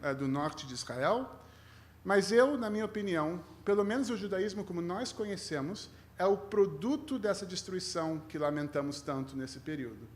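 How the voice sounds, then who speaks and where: Guest rabbi, male, Brazilian accent with a mixed tinge, lightly raised pitch, conversational sermon with self-deprecating humour. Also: animated, normal speed. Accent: Brazilian with a mixed foreign tinge. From Rio de Janeiro, Brazil.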